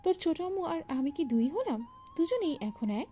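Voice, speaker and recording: A woman's voice: high voice, big pitch swings, moderate pace, dull audio, faint music behind. A woman playing an affectionate, teasing middle-aged mother in an audio story. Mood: teasing, pleased. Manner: gently teasing, fond. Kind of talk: Bengali audio story acting.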